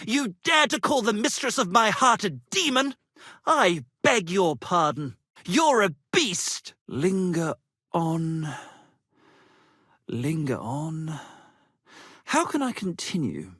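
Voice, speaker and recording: A melodramatic male minstrel from a medieval video game. His delivery is florid and unhurried, swinging widely in pitch. He sounds vain and easily affronted, recorded dry and close. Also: big pitch swings, bright, forceful tone, husky, no room sound, full character voice. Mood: outraged. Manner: florid, theatrical, declamatory. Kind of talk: video game character acting.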